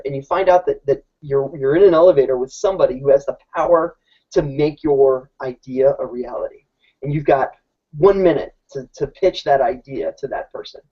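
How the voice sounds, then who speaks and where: Mellow-voiced man teaching, lo-fi sound. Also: mid-range voice. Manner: informal, explanatory, with many restarts. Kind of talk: casual presentation. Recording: dull lo-fi recording.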